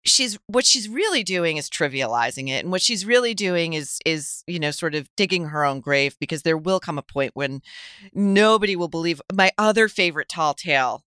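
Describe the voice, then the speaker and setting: A woman's voice: on the low side, lively intonation, normal speed, a bit bright, smooth, dry recording, clean studio sound. A middle-aged woman giving wry, scornful commentary as a conversational talk show guest.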